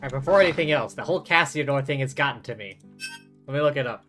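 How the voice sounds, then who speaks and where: A man's voice: mid-range voice, fast, fairly bright tone. A man doing casual live game commentary, chatty and thinking aloud as he plays. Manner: chatty, mimics lines mockingly, thinks aloud. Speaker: game streamer. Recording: faint game music behind.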